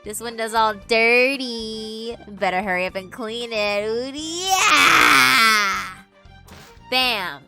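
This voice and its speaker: A woman voicing a rude, drunken male bully in a visual novel, jeering and yelling in bursts. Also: a little high, expressive, drawn-out words, vocal fry, over loud music, pitched above the performer's own voice, heavily acted. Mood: rowdy, belligerent. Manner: loud, jeering, shouted bursts.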